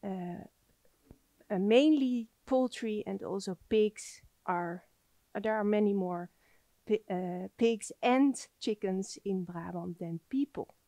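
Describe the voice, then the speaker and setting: A woman in her thirties giving an academic lecture in a soft voice, standing in a library lecture space. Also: mid-range voice, slow pace, dry recording. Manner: soft-spoken.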